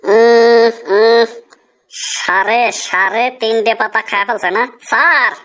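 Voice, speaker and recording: A woman's voice: on the high side, fairly even intonation, slow pace, fairly bright tone. A woman voicing a polite, confident cartoon job candidate, explaining answers patiently and deferentially. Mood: pondering, sure. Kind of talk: comic cartoon dialogue.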